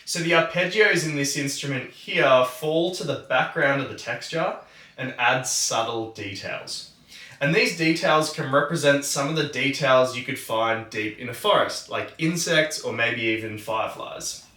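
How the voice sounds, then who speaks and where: Bright-voiced man presenting a tutorial, roomy sound. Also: medium pitch, measured pace. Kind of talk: explanatory tutorial narration. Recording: roomy, distant microphone.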